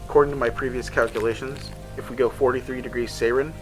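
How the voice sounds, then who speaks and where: An actor playing a cautious, practical explorer in an audio drama, talking in a casual, plain-spoken way. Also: male voice, mid-range voice, steady tone, quick pace, lightly acted, rough recording. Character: practical, cautious male explorer, the navigator companion. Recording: music bed under the voice.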